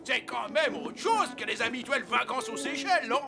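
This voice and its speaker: A man voicing an excitable, oblivious father on holiday in a cartoon, gushing with exuberance. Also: extremely high pitch, moderate pace, vocal fry, full character voice. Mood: cheerful, amazed. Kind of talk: cartoon voice acting. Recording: music behind the voice.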